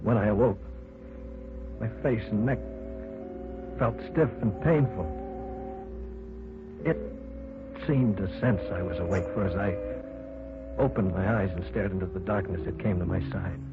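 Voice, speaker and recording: A man's voice: low-pitched, measured pace, pauses often, over music. An actor playing a frightened man held captive underground, telling his tale in tense, dramatic old-time radio narration. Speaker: first-person narrator of a radio play. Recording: scratchy, tinny old radio broadcast.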